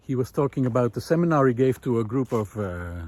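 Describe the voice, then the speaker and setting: A man of ordinary middle pitch speaks while walking a forest path outdoors, recorded on a portable mic. He thinks aloud with frequent pauses and fillers, then pushes his voice up and louder when he reaches a point he cares about. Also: animated. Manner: thoughtful, many pauses and fillers, rising emphasis on key points. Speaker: nature video essayist. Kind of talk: reflective walking monologue.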